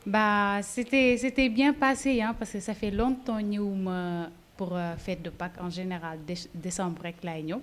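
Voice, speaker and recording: A young woman from Senegal, a model, chatting in a relaxed interview with frequent fillers. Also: mid-range voice, a little slow, few pauses. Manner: chatty, laughs easily, hesitant fillers. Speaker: fashion model, interview guest. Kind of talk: casual interview, mixing Wolof and French.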